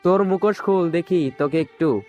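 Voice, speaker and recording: Man playing a higher-pitched, taunting gangster villain. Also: moderate pace, warm tone, soft background music, lightly acted. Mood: mocking. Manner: taunting, threatening. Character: menacing, mocking gangster villain, an adult man. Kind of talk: dramatized audio story dialogue.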